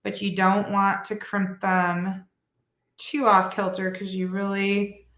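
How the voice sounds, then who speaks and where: A woman's voice: medium pitch, level tone, slow pace, a bit bright, echoey room. A woman from Michigan teaching a live beading tutorial, a bit congested and nasal, chatty, correcting herself and laughing lightly. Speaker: beading instructor.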